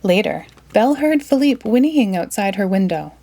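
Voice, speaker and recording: Female storyteller, medium pitch, expressive children's read-aloud narration. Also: moderate pace.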